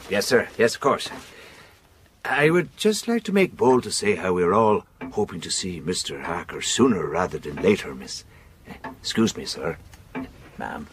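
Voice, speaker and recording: Fussy, low-voiced clerk, animated. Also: male voice, normal speed, slight background noise. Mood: deferential. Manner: bossy to juniors, obsequious to superiors. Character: fussy, officious, deferential male office clerk. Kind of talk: period audio drama acting.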